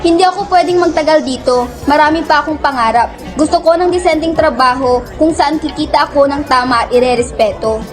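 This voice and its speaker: Woman playing a high-voiced, troubled young student. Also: fairly quick, faint music behind, some chatter behind, close to an everyday voice. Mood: determined. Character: troubled, fearful, hopeful young female student. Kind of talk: amateur radio drama acting.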